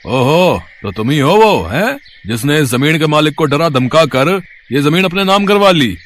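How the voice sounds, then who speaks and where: A man voicing a scornful village policeman in a storybook tale, gruff, bossy and sneering. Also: a little high, animated, normal speed, voice pushed down, lightly acted. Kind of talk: acted storybook dialogue.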